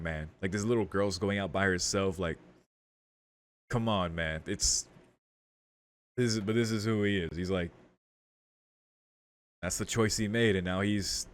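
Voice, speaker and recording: A man playing through a visual novel, reading its text aloud dramatically and reacting in casual, slangy speech. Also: deep voice. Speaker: video game streamer doing a playthrough. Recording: music behind the voice. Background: game music.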